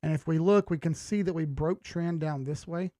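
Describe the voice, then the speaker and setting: A man's voice: on the high side, normal speed, dark tone, no echo, clean studio sound. A man hosting a live stream, giving casual commentary and thinking aloud in slangy, informal language. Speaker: host of a live market analysis stream.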